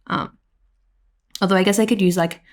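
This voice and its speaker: A woman with an Australian accent, slightly hoarse, giving a rambling voiceover at home full of "like" and "um". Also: medium pitch, steady tone, moderate pace. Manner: rambling, with many "like" and "um" fillers. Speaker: planner vlogger. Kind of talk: casual voiceover narration.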